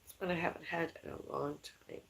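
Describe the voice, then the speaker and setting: Raspy, gravelly woman chatting on a home stream. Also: sits low, steady tone, fast, slight background noise, poor audio. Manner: rambling, chatty, calls out to someone in another room. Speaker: vlogger. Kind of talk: casual live-stream chat. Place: home kitchen.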